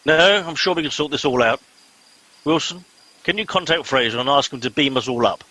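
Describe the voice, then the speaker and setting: Pompous starship captain acted by a man, comic radio play, forceful, husky, exasperated and commanding. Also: medium pitch, fairly quick, low-quality recording.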